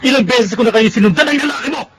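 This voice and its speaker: A man's voice: extremely high pitch, very fast. A man playing a betrayed, hardworking husband in a melodrama, with a Filipino accent and an emphatic, accusing delivery. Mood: hurt, furious. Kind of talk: melodramatic radio-style drama acting. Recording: dramatic music behind the voice.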